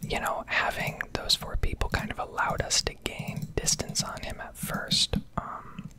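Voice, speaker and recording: A woman in her early twenties from Connecticut with an American accent, rambling casually in a whispered ASMR style. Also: measured pace. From Connecticut, United States. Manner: casual, rambling, occasional swearing. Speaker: ASMR gaming video creator.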